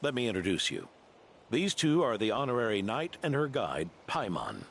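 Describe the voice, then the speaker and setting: A man playing a seasoned, genial old knight in a video game, with a gravelly voice and measured, dignified delivery. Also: fairly low pitch, huge pitch swings, a bit bright, a little hiss or hum, heavily acted. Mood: genial, courteous. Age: older. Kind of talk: video game character acting.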